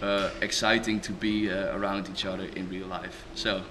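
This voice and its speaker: Young male podcaster, halting and filler-heavy, flat low delivery, noisy conference hall. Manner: halting, many fillers and restarts. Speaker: skeptic podcaster. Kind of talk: casual interview at a conference. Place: large hotel hall at a conference.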